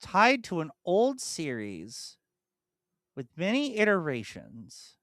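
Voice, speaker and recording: Animated, slow-talking woman podcast co-host. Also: fairly low pitch, dry recording, studio quality. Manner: animated, self-deprecating, thinks aloud and repeats clues. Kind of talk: casual chat.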